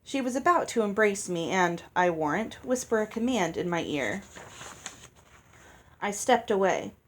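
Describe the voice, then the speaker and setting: Medium-pitched woman reading a storybook aloud. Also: very animated, a little slow. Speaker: audiobook reader. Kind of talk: storybook narration, read aloud.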